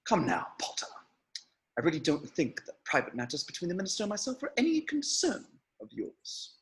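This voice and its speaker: Sneering aristocratic wizard villain played by a man, swooping, slightly high, whispered menace, drawling condescension, table-read drama. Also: wildly swooping pitch, brisk, exaggerated voice. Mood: menacing. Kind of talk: amateur online table read of a screenplay.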